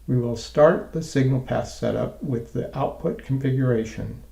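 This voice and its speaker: A man narrating a scripted software tutorial in a measured, methodical, step-by-step way. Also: mid-range voice, vocal fry, roomy sound.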